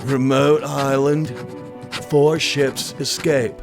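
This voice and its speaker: Slow, nonchalant slacker man acted out. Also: medium pitch, little pitch movement, over music. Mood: nonchalant, compliant. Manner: casual, nonchalant. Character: laid-back, idle male writer, easily pushed around. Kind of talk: audio drama acting.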